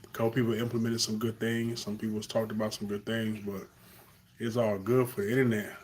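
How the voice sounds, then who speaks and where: A man's voice: lowish voice, little pitch movement, fairly quick, darkish tone, a little hiss or hum. A young man from Carolina with a Southern accent, talking earnestly off the cuff in a live stream monologue, with fillers and restarts.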